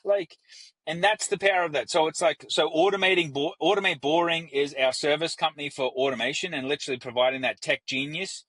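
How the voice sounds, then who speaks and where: A man's voice: fairly high pitch, steady tone, a little fast, fairly bright tone, low-quality recording. An Australian man with an Australian accent, a business founder speaking as a guest in a casual podcast interview, talking with energy and acting out the voices he quotes.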